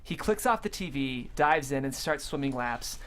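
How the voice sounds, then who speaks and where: A woman's voice: very deep voice, animated, normal speed, slight background noise. A woman hosting and narrating a comedy script table read, reading out the stage directions. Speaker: host and stage direction reader.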